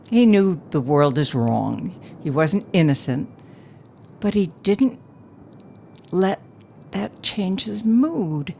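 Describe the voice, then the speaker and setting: A low, slow female voice from an actress plays a self-possessed businesswoman marked by grief in an audio play. She recounts her past in a measured, reflective monologue. There is clear noise and a rumble behind her. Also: a little mellow, exaggerated voice. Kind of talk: intimate dramatic monologue, audio play acting.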